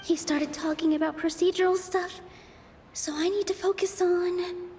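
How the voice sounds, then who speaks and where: An earnest young dancer argues her case in a game. Her voice sits very high and carries a gentle persuasiveness, with a faint hiss behind it. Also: fairly even intonation, normal speed. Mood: focused. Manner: earnest and persuasive, with quiet asides to herself. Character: young woman dancer defending her theater.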